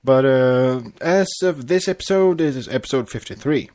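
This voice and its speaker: A man co-hosting a podcast, chatting casually and narrating a story in a halting way with stumbles. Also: medium pitch, expressive, darkish tone. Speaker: podcast co-host and fan fiction reader.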